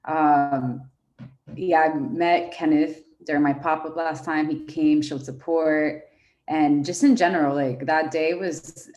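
A young woman from Venezuela, a fashion brand founder, talking as a podcast guest in a relaxed way with many fillers. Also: sits low, slow. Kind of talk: casual interview chat.

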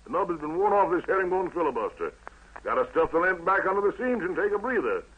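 A man's voice: high voice, very animated, fast, husky, muffled recording. A man hosting a 1940s armed forces radio show in theatrical hip slang patter, on a vintage broadcast recording.

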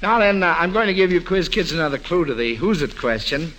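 A man's voice: on the high side, lively intonation, a little fast, fairly bright tone. A man hosting an old-time radio quiz for children, speaking in an encouraging, somewhat hesitant way. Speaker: quiz show host. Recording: noisy vintage radio broadcast.

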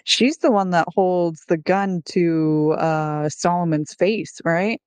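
Female podcast host. Laid-back, conversational, voice sits a bit low. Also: slow pace, a little mellow, smooth, no echo. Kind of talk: casual podcast chat with some scripted intro.

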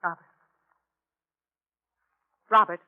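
An actress playing a betrayed, wounded wife in a 1950s radio melodrama, pleading and overwrought as she speaks. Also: extremely slow. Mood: insistent, wounded. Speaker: actress in a radio crime drama. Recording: tinny old radio broadcast recording.

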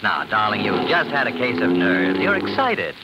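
Impatient, animated lover in vintage radio drama. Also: male voice, fairly low pitch, normal speed, lo-fi sound. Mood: brisk, dismissive. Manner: brusque, insistent. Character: impatient, domineering lover of a married woman. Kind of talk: old-time radio drama acting. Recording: noisy vintage radio recording.

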